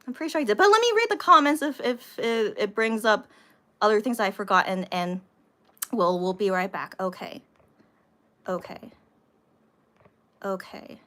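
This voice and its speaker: An American woman hosting a casual livestream, rambling to viewers and repeating herself with animated emphasis, sounding somewhat tired. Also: mid-range voice, moderate pace, long pauses. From United States. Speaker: livestream host, vlogger.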